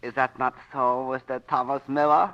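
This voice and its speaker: A man plays a crafty, determined merchant of old radio drama, formal and courteous. He speaks measured, clipped sentences at a medium pitch, through a muffled vintage broadcast recording. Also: level tone, smooth, lightly acted. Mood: courteous, knowing. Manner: formal, measured, clipped grammar dropping articles. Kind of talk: 1940s radio acting. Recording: dull, band-limited 1940s radio recording.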